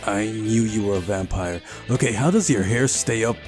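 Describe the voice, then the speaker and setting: A man's voice: fairly low pitch, moderate pace, a little mellow, faint music behind. A man hosting a visual novel playthrough, reading the game's narration aloud and adding casual commentary.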